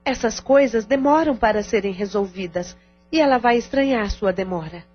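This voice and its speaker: A woman's voice: a little high, normal speed, natural voice. A Brazilian woman playing a stern, indignant but supportive middle-aged sister in an audio novela. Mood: stern, concerned. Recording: dull lo-fi audio with music bed.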